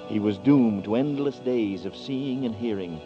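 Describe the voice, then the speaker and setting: A man's voice: fairly low pitch, measured pace, music in the background, natural voice. An actor playing a desperate young man accused of murder, in urgent 1940s radio acting with brooding narration. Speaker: radio drama lead and narrator. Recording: dull lo-fi 1940s radio broadcast.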